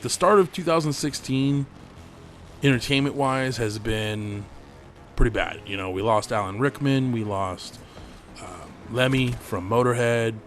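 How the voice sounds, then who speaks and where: A man's voice: mid-range voice, a bit bright. Tired guy losing his voice, rambling. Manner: rambling, laid-back, swears freely. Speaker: gaming channel host. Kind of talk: casual commentary over gameplay.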